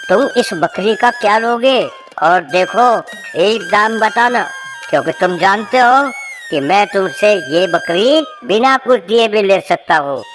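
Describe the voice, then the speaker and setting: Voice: mid-range voice, animated, unhurried, background noise, natural voice. A voice actor playing a powerful, imperious village chief in a cartoon moral story, with music behind. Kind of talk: animated moral story character dialogue.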